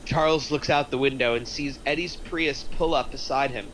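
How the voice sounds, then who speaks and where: A man's voice: mid-range voice, normal speed, a bit bright, slight background noise, low-quality recording. A man reading out screenplay stage directions as a narrator in a scripted table read.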